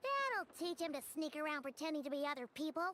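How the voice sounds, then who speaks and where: A very high, squeaky little game fairy, swinging excitedly up and down in pitch. She is childlike and naive, full of eager exclamations and wondering questions. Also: fast talker. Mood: mischievous. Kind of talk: scripted game dialogue.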